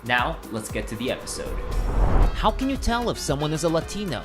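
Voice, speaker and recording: Brief: polished show narrator, lively pitch, music playing underneath. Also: brisk. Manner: polished, inviting presenter delivery. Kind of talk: scripted narration.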